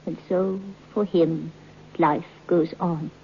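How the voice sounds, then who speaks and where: A woman's voice: fairly low pitch, steady tone, slow. Composed woman storyteller. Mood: confiding, composed. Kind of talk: old-time radio drama acting. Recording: muffled, faintly noisy old radio recording.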